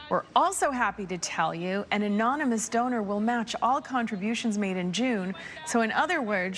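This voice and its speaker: Woman, news anchor, upbeat and friendly read, studio mic, faint noise behind. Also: medium pitch. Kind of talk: scripted news reading.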